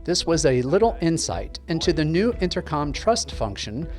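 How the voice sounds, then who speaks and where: A tech tutorial presenter with an animated, varied delivery at a middling pitch. The narration is scripted and instructional, moving step by step. Music plays in the background. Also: moderate pace.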